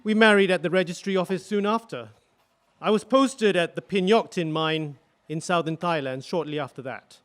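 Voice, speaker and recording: A man plays a grave widower testifying in a courtroom play. His voice is high for a man, and he speaks earnestly, with careful, solemn precision. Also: moderate pace, a bit bright. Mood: fond, earnest. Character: grave, earnest male electrical engineer, widowed war witness.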